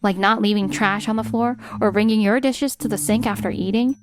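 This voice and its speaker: An actress playing a fed-up, sharp-tongued wife in a dramatized manga dub, sarcastic and pointed. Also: female voice, mid-range voice, steady tone, a little fast, natural voice. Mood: reproachful. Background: music.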